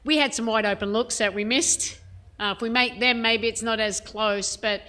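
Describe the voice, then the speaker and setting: A woman head coach answering reporters' questions at a press conference, frank and measured, with plenty of "um" and "you know". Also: fairly high pitch, fairly even intonation, bright, forceful tone. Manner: frank, measured, many fillers.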